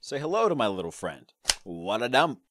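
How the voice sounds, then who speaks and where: A young man performing classic movie characters in short, deadpan, theatrical one-liners. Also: mid-range voice, normal speed, natural voice. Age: young adult. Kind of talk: amateur impressions of film quotes. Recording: clearly noisy background with clicks.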